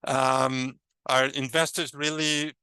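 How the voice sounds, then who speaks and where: A man from Brazil with a Brazilian Portuguese accent, a native Portuguese speaker, delivers an academic lecture. His voice is bright and crisp, and he hesitates often with fillers and restarts. The sound is dry and close. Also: mid-range voice, level tone, takes it slowly, no echo.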